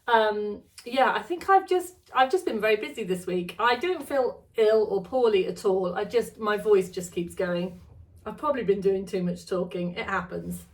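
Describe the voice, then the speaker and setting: A woman chatting casually to camera about sewing from her home studio, her voice a little gravelly, rambling and correcting herself as she goes. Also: medium pitch, moderate pace, recorded from a distance. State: slightly gravelly voice. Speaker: sewing vlogger.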